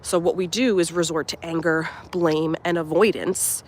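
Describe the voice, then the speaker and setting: A woman's voice: sits low, fairly even intonation, normal speed, slight background noise. A young woman life coach talking casually and earnestly on a live stream while walking outdoors, slightly nasal from a cold and full of fillers. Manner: warm, earnest, reflective, many fillers like "um" and "like". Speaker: life coach and channel host. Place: outdoors at a resort at sunrise.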